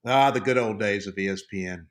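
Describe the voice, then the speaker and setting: A man's voice: fairly low pitch, fairly quick. A man hosting a conversational interview, who chimes in with short agreements and stumbles a little as he frames his questions. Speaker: interview host.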